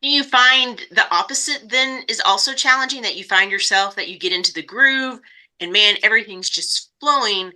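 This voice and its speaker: A woman's voice: mid-range voice, moderate pace, pushed and bright, poor audio. A woman hosting a podcast, interviewing in a conversational style. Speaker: podcast host.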